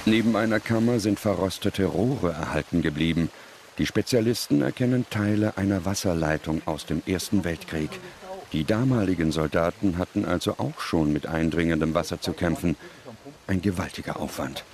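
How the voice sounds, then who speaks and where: A man's voice: low voice, moderate pace, crowd noise. A man reading scripted documentary narration as the narrator. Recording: music under the voice. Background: music.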